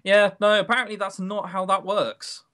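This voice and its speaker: A man's voice: sits high, flat delivery, normal speed, sharp tone. Grumbling, sarcastic man chatting on a podcast. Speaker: podcast co-host. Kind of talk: casual opinionated podcast chat.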